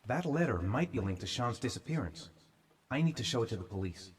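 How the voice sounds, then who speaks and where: An actor playing a troubled, haunted father in a dark thriller video game, brooding in inner monologue. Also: mid-range voice, sing-song, a little fast, husky. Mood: brooding, determined.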